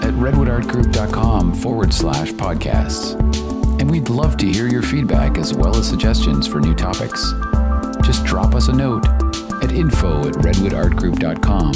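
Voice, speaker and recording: Low-voiced male announcer over loud music. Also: big pitch swings. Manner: polished, measured announcer delivery. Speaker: podcast announcer. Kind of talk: scripted promotional narration.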